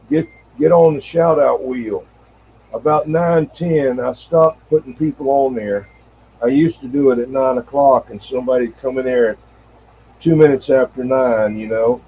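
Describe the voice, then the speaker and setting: A man's voice: mid-range voice, husky. A man in casual, rambling, folksy conversation, hosting a CB radio live stream. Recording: dull, lo-fi CB radio sound.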